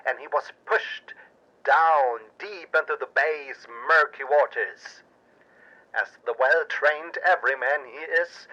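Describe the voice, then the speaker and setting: Slow, bright-voiced man narrating pulp drama. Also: a little high, lo-fi sound. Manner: theatrical, suspenseful, deliberate. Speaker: narrator and storyteller.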